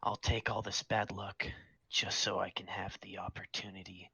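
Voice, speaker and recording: A man playing a soft-spoken, self-deprecating young man with a gentle, teasing, admiring manner in a fan fiction roleplay. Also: low-pitched, level tone, normal speed, gravelly, slightly rough, dry recording, heavily acted. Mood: gentle, reassuring.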